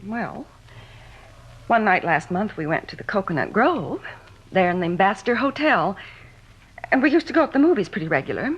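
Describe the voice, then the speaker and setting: An American actress playing an anxious, defensive middle-aged housewife in an old radio drama, indignant and insistent. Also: female voice, medium pitch, big pitch swings, moderate pace, long pauses, breathy edge, lo-fi sound. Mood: hesitant. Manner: indignant, insistent, questioning back. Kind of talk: 1950s radio drama acting.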